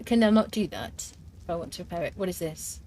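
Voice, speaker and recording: A woman's voice: on the low side, expressive, a little fast, mellow, slight background noise. Chatty woman streamer thinking aloud. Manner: chatty, thinks aloud with fillers, reads and answers chat. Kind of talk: live gameplay commentary.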